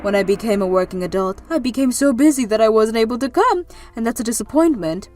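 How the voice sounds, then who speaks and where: Actress as elderly grandmother hotel guest, manga dub: gentle, gracious, somewhat high voice, lively intonation. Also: fast talker, close to an everyday voice. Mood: wistful. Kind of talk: anime-style manga dub acting. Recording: background music under the voice.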